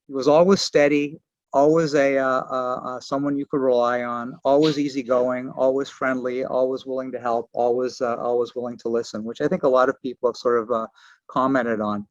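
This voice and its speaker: A man's voice: mid-range voice, little pitch movement, dry recording. Older guy in his 60s talking over a muffled call, dry sound, keeps stopping and restarting. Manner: halting, searching for words with many restarts. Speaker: archaeology and geography professor giving a memorial tribute. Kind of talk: personal memorial remarks. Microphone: remote video call. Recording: dull lo-fi call audio.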